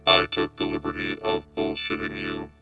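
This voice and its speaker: A man voicing a detached, deadpan male robot through a robotic vocoder filter, gravelly and flat, over loud keyboard music. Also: moderate pace, highs cut off.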